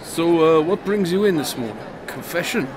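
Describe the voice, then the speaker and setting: A man acting as an imposing ex-criminal priest in a radio drama, friendly, hesitant and gently teasing. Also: on the high side, lively intonation, moderate pace, close to an everyday voice. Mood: genial, hesitant. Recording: clearly noisy ambient background.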